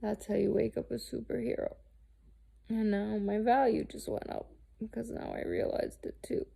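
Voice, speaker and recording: A woman in her thirties, talking candidly and haltingly about herself, restarting often and swearing freely. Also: on the high side, flat delivery, gravelly, warm tone, breathy edge. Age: 30s. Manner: halting, searching, with many restarts and fillers. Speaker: host. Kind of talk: candid personal monologue.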